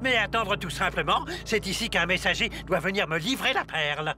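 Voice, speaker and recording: An actor playing a boastful, scheming old submarine second-in-command in a French cartoon, theatrical and gloating. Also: male voice, high-pitched, fairly quick, music in the background, lightly acted. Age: older.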